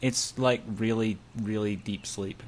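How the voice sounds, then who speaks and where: A man's voice: lowish voice, steady tone, a little slow. A man reading visual novel narration aloud and chatting casually in between, on an amateur recording. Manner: stumbles over words while reading. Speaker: amateur voice-over player in a group. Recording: noisy amateur home recording.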